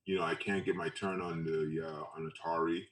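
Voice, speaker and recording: A man from Trinidad and Tobago with a low voice shares memories in a casual interview. He speaks haltingly and loosely, circling back over phrases. The sound is echoey, with the microphone at some distance. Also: flat delivery, fairly bright tone. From Trinidad and Tobago, later Brooklyn. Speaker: photographer being interviewed. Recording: roomy echo, distant mic.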